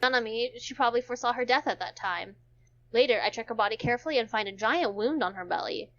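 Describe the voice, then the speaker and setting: A woman's voice: fairly high pitch, fast. A woman streamer reading a visual novel aloud, narrating dramatically and chatting casually. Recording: dull, muffled lo-fi audio.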